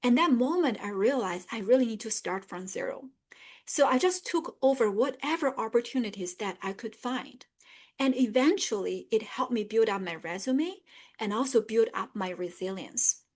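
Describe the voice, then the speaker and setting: A woman's voice: fairly high pitch, animated, normal speed, darkish tone. A middle-aged woman from northern China with a Chinese accent, hosting an online career webinar in an earnest tone with many fillers. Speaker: webinar host and career coach. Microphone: video call.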